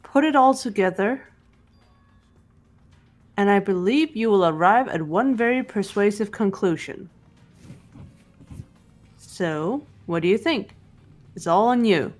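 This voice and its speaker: A woman playing a cool, analytical teenage girl detective in a game voice-over, speaking in a measured, serious way. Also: mid-range voice, animated. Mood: quietly confident. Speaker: amateur voice actor. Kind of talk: fan voice-over of a visual novel game. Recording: game music under the voice.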